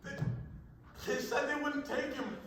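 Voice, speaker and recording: Man playing grieving sibling, sharp high pitch, emotional stage acting, long reverb, distant. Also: fairly even intonation, a little slow, a little hiss or hum. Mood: anguished. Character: anguished sibling mourning a deported brother. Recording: distant recording in reverberant hall.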